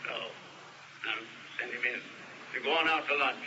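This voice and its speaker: An American actor playing a gruff, domineering male businessman in a 1950s radio drama, curt and imperious. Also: mid-range voice, moderate pace, bright, forceful tone, low-quality recording. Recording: old radio recording, faint noise.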